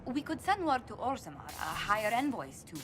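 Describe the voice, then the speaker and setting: An actress playing an eager, anxious, polite diplomat in a fantasy video game. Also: female voice, on the high side, big pitch swings, fairly quick, very noisy. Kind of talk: dramatic video game acting. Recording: loud game music over the voice.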